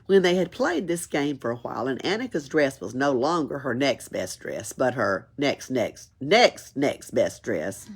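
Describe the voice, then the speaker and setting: A woman's voice: a bass voice, a little slow, hardly any pauses, husky. A woman reads a children's story aloud expressively, as a library storyteller. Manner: expressive, chuckles and adds asides. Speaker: library read-aloud reader.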